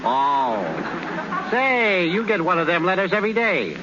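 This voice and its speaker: A wisecracking teammate. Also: male voice, high-pitched, sing-song, unhurried. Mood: teasing. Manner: needling, wisecracking questions. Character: teasing skeptical male ballplayer teammate. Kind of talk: 1930s radio drama acting. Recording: dull, hissy 1930s radio broadcast.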